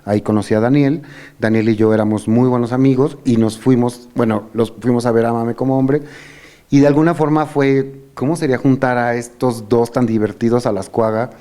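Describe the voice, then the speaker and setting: A man's voice: mid-range voice, steady tone, a little fast, warm tone. A middle-aged man with a Mexican Spanish accent, hosting and telling stories in a casual, reminiscing chat. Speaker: host.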